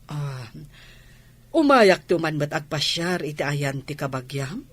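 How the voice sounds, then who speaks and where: A husky, trusting, loyal wife. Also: female voice, low-pitched, animated, measured pace, heavily acted. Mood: mild. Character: gentle, trusting wife defending her man. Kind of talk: Ilocano radio drama acting.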